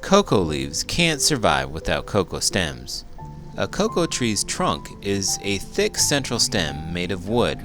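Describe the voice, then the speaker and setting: A man reading a children's book aloud as a teacher would, expressive and full of big emotion. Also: sits low, slow, music in the background. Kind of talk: animated children's read-aloud narration.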